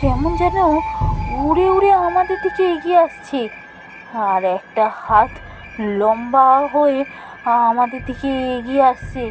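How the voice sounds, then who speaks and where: A woman storyteller narrating a scripted ghost story in the first person, with dramatic, suspenseful pauses. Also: sits high, a little mellow, slight background noise, rough recording.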